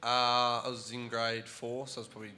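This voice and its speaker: A man's voice: medium pitch, completely flat delivery, brisk, sharp tone, slight background noise. A young Australian man on his first panel show, giving short, plain, slightly shy answers into a handheld mic. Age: young adult. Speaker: guest player.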